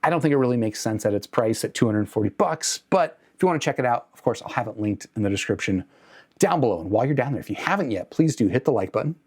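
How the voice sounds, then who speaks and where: A man's voice: mid-range voice, lively intonation, fast talker, a little mellow, breathy edge. A man speaking casually and off the cuff as a review host, hedging and correcting himself as he goes. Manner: conversational, hedging, self-correcting. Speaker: host of an audio gear review channel. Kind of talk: casual unscripted product review to camera.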